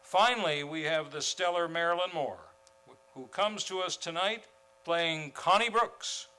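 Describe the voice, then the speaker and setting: A man's voice: a little high, measured pace, pushed and bright, slight background noise, low-quality recording. A man hosting in a theatrical, grandly formal old-time radio announcer style. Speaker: series host and announcer. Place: theater stage, before a live audience.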